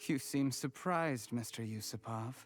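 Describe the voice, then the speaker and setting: A man's voice: medium pitch, faint music behind, close to an everyday voice. Cold, calculating young schemer played by a man. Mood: bitter, defiant. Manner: measured, menacing, smugly composed. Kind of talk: video game voice acting.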